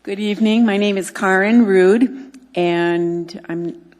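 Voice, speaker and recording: A woman from Seattle giving a measured, polite self-introduction at a public meeting. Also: medium pitch, fairly even intonation, a little mellow. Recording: reverberant chamber, off-mic.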